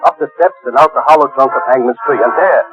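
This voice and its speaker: Man as dramatic narrator over loud music. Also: mid-range voice, fast talker, dull audio, close to an everyday voice. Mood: urgent. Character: dramatic storybook narrator, urgent and earnest. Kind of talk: dramatic scripted narration for radio.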